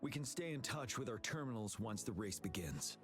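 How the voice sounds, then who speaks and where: A man playing a calm, commanding young general in a video game, speaking in a measured, formal, authoritative way. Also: on the low side, close to an everyday voice. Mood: grave, composed. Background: game music.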